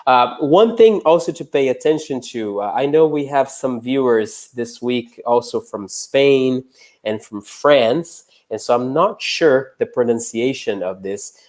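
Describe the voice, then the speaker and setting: A man from Brazil hosts a live online English lesson in a relaxed, chatty way. His voice sits at a middle pitch, and his speech is full of small uh and um fillers. He sounds young and easygoing. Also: measured pace. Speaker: English teacher.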